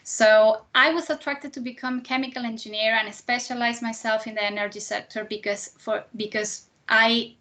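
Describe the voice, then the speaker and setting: A woman's voice: fairly high pitch, steady tone, moderate pace, hardly any pauses, a bit bright. A woman in her thirties from Venezuela, a native Spanish speaker with a Venezuelan accent, giving a careers presentation to students in slightly hesitant, non-native English. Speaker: guest speaker, chemical engineer.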